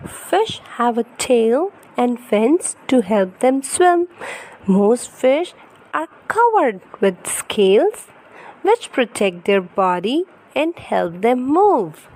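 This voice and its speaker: A woman's voice: on the high side, very animated, takes it slowly, darkish tone, low-quality recording. A woman reading a picture book aloud to young children in a teacherly way, with questions and exclamations.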